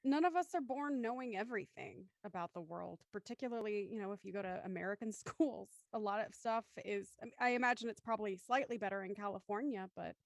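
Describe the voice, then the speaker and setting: A woman's voice: mid-range voice, fast, gravelly, few pauses, no echo. A woman talking casually as a panelist in a group discussion. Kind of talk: casual chat.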